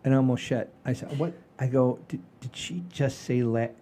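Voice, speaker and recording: A man hosting a comedy show in casual chat, halting and repeating phrases for emphasis. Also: mid-range voice, warm tone.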